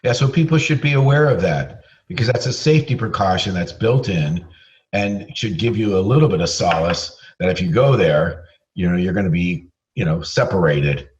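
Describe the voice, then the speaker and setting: A man's voice: sits low, expressive, normal speed, lo-fi sound, slight background noise. A man co-hosting a radio show in a conversational style.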